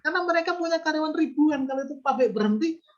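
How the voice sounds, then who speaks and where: A man's voice: very high voice, rapid-fire, lo-fi sound, slight background noise. A man in his fifties, a business owner, explaining his views earnestly in an interview, often asking rhetorical questions. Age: 50s. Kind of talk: reflective interview talk.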